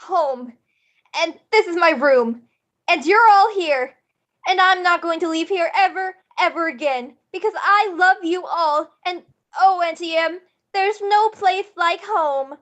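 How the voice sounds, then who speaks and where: A woman's voice: extremely high pitch, measured pace, fairly bright tone. A woman playing an earnest, pleading young farm girl, tearful and wide-eyed, in a screenplay table read. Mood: tender, yearning. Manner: pleading, emphatic.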